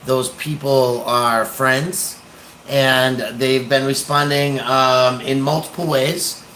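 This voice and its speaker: Slow, halting American man livestreaming. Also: mid-range voice, little pitch movement, fairly bright tone, slight background noise, rough recording. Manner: hesitant, pausing, halting. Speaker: livestream host, activist. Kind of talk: rambling casual livestream talk.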